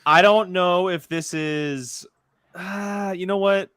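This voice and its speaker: A man's voice: high voice, fairly even intonation, slow pace, a bit bright, clean tone, no echo, clean studio sound. A man hosting a casual group chat and moving the turns along. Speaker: host running the draft.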